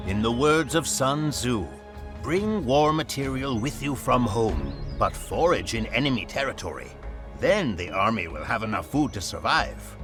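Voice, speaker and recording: A male actor voices a solemn mentor of war in a strategy game. His speech is formal and instructive, addressed to a commander, with lively rises and falls in pitch. Music plays clearly behind him. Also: a little slow, lightly acted. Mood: grave, instructive. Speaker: narrator and tutor. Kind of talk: scripted game cutscene narration.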